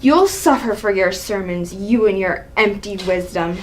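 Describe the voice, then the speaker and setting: A woman's voice: medium pitch, a little slow, slight background noise, voice pushed down, natural voice. A woman acting an enraged, proud king and father in a dramatic reading of Greek tragedy, with an indignant, imperious delivery. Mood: furious. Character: proud, enraged male king, father berating his son.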